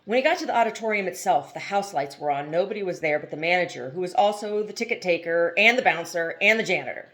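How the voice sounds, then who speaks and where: A woman's voice: fairly low pitch, lively intonation, fast, hardly any pauses, a bit bright. A woman reading a novel aloud as scripted narration, now and then stumbling over a word. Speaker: amateur audiobook reader.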